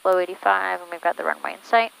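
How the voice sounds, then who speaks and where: A woman's voice: on the low side, fairly even intonation, fast talker, creaky, a bit bright, smooth, rough recording. A woman flying a small plane, giving clipped radio calls and talking herself through the approach over a headset intercom. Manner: clipped checklist-like callouts. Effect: aviation intercom and radio headset. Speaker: pilot flying instrument approach. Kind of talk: cockpit radio calls and self-narrated flying. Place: small airplane cockpit in flight. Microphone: aviation headset.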